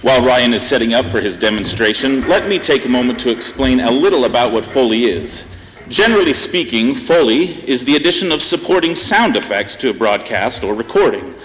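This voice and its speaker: A man's voice: medium pitch, animated, fairly quick, fairly bright tone. A man acting as announcer for a radio variety show, giving a scripted stage introduction. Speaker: announcer, master of ceremonies. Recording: echoey hall, long reverb, faint noise.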